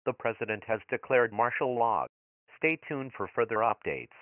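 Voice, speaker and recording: A man voicing an impersonal emergency broadcast announcer, reading formal scripted alerts in a flat, official manner through a radio filter. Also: medium pitch, moderate pace, background noise. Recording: tinny phone-like emergency broadcast.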